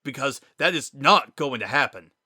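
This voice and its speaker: A man's voice: medium pitch, lively intonation, moderate pace, sharp tone, slightly rough, no room sound, clean studio sound, pitched above the performer's own voice, heavily acted. A man voicing a slangy, wisecracking character worn down by grief and desperation in a dramatic story reading. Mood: desperate, pleading. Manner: slangy, informal, emotionally raw.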